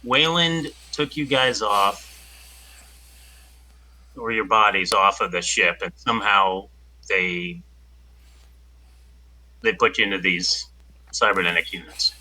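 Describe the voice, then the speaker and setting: A man improvising in character in a tabletop role-playing game, explaining things in a hesitant, halting way. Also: fairly low pitch, pushed and bright, poor audio.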